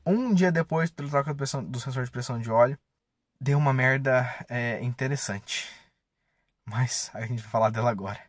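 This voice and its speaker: A man's voice: medium pitch, normal speed, no echo, studio quality. A man, chatting casually and slangily as a car vlogger. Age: 20s. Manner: informal, slangy, mildly profane. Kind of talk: casual vlog chat. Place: inside a parked car. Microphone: camera or phone at arm's length.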